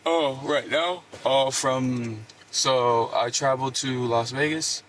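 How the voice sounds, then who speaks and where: A young man from Puerto Rico, speaking English as a second language, answers police casually with a hesitant, hedging manner. His voice is mid-pitched, caught on body camera with faint noise behind it. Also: takes it slowly, a bit bright. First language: not English. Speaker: driver stopped by police. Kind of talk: casual, evasive answers to questioning. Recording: noisy body camera audio.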